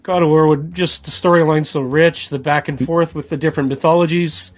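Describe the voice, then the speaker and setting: A man's voice: a little high, steady tone, a little fast. A middle-aged man co-hosting a podcast in casual, slangy, buddy-like chat. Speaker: podcast co-host. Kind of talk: casual chat about video games.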